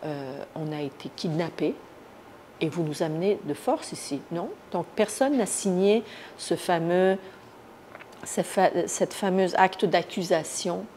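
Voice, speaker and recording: A woman from Iran giving a spontaneous first-person account in an interview, often pausing to search for words. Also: on the low side, a little mellow. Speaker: interviewee, activist recounting her detention. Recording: noticeable background noise.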